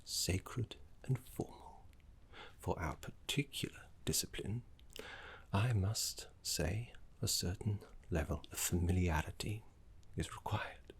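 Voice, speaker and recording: Guy doing a genteel necromancy professor for asmr roleplay, slow n creaky, breathy, formal, kinda fussy. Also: sits low, full character voice. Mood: courteous, intimate. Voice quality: soft, breathy whisper. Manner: genteel, formal, measured, with dramatic pauses.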